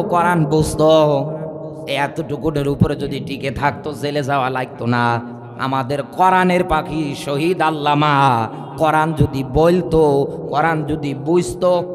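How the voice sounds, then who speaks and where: A Bangladeshi man delivers an impassioned Islamic sermon in a high-pitched voice. He speaks as an orator, stacking repeated phrases and rhetorical questions and calling on listeners to answer. His words carry a long reverb, as if amplified in a large hall. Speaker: Islamic preacher. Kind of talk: impassioned religious sermon to a gathering.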